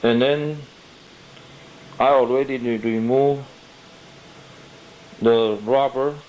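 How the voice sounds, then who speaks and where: Guy doing a diy repair demo, really slow n careful, roomy echo, bit of noise. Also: medium pitch, fairly even intonation, darkish tone. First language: not English. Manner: halting, careful, step-by-step. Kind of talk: unscripted how-to narration. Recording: echoey room, light noise, clicks.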